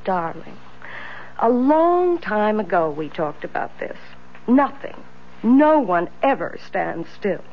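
An actress playing an assertive, direct, worldly woman in a 1970s radio drama, speaking emphatically and deliberately. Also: medium pitch, very animated. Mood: insistent. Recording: dull vintage radio recording.

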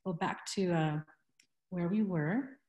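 A woman's voice: lowish voice, slow, a little mellow. A woman in her thirties presenting on a video call from a room at home, chatty, with many fillers and restarts. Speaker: presenter in a webinar. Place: home room with window blinds. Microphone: laptop webcam on a video call. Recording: roomy echo.

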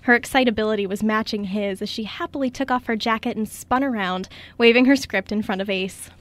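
A woman's voice: mid-range voice, fairly quick. A woman giving scripted story narration for a radio play.

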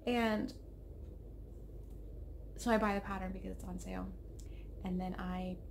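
A woman's voice: mid-range voice, fairly even intonation, unhurried, background noise. A woman in her thirties hosting a knitting podcast from her home craft room, chatting in a rambling, self-interrupting way, her throat a little froggy. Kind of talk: casual chat. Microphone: webcam at desk.

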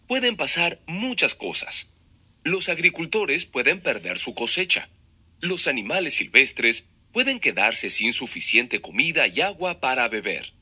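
A male narrator delivers scripted educational material with a lively, varied pitch. His voice is very bright and forceful, with a slightly raspy texture.

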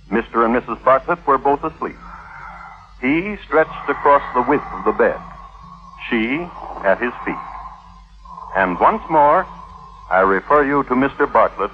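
An actor playing a droll, solemn crime historian, narrating a 1950s radio show with deliberate, dry delivery and theatrical pauses. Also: male voice, medium pitch, lively intonation, lightly acted. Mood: wry, solemn. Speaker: host and narrator. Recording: muffled vintage radio recording, music behind.

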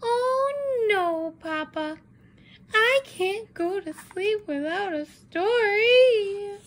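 A woman's voice: very high-pitched, animated, extremely slow, slight background noise, voice pushed up, heavily acted. A woman voicing an eager, childlike little red chicken daughter, pleading and exclaiming, in a picture-book read-aloud.

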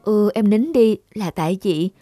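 A woman playing a caring young older sister in a cartoon, speaking in a gentle, coaxing manner. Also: mid-range voice, measured pace, dry recording, studio quality, soft background music, close to an everyday voice. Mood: remorseful. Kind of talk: cartoon voice acting.

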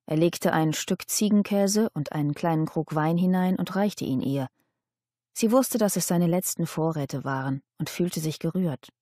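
Female narrator for a novel, slightly low register, scripted literary read, no room echo. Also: moderate pace, dark tone, clean studio sound. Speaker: audiobook narrator.

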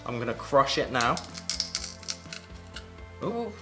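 A young British man co-hosting a casual kitchen gadget test, chatting with animated enthusiasm while he demonstrates. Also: mid-range voice, slow, music in the background. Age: young adult. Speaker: co-host. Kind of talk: casual product-testing chat. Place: indoor home studio set at a table.

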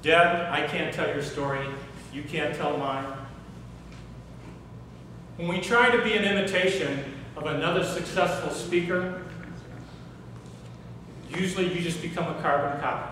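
A middle-aged man giving a workshop talk on public speaking, animated and asking the audience questions in a hotel conference room. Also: fairly high pitch, unhurried, pauses often, a little hiss or hum. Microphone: far camera, no mic seen. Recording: echoey room recorded from far off.

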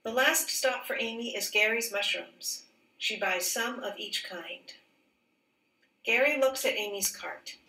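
Bright-voiced woman reading aloud, roomy sound. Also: on the high side, measured pace. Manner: careful, clearly phrased, teacherly delivery. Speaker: teacher reading a picture book aloud. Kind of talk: expressive children's read-aloud narration. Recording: roomy echo, distant microphone.

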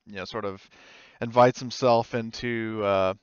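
A man running a tabletop game as game master, improvising narration and pausing mid-sentence. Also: fairly low pitch, fairly even intonation, clear, resonant tone, dry recording, lo-fi sound. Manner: halting, pauses mid-sentence.

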